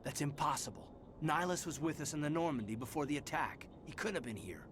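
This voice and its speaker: A man voicing a wary male soldier who makes low, cautious, half-whispered remarks. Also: lively intonation, fast, background noise, lightly acted.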